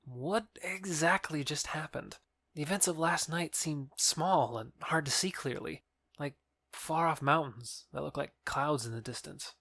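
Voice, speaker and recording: A man's voice: a little high, unhurried, creaky, bright, forceful tone, no echo, studio quality, heavily acted. A man playing an earnest, lovestruck young nobleman in a Shakespeare audio drama, declaiming sincerely. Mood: puzzled.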